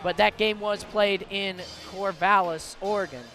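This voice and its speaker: High-pitched American man calling basketball. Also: steady tone, a little slow, pushed and bright, soft background music. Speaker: radio play-by-play basketball commentator. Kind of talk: live sports play-by-play commentary. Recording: live broadcast with clear background noise. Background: basketball game ambience, bouncing ball.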